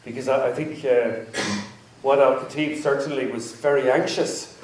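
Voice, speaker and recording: A man's voice: mid-range voice, moderate pace, slight background noise. A man hosting a panel after a film screening, talking in a hesitant, rambling way and repeating himself. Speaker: event host. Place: screening hall with audience. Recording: roomy hall from far off, faint ambience.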